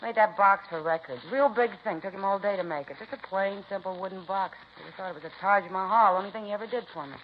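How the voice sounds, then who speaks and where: Woman playing fed up crook's wife, old radio show, talks quick, slangy n blunt, muffled recording. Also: mid-range voice, a little hiss or hum, lightly acted. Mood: grudging, plain. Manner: blunt, complaining, slangy ungrammatical speech. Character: bitter, fed-up wife of a crook, working-class stenographer. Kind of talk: 1950s radio drama acting.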